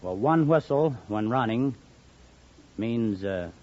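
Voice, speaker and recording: Regular guy on old 50s radio quiz, careful halting answers, very plain, dull sound w/ light hiss. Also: medium pitch, lively intonation, a little mellow. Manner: hesitant, matter-of-fact, literal. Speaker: train conductor, quiz show contestant. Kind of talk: answering questions on 1950s radio show. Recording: muffled 1950 radio recording, faint noise.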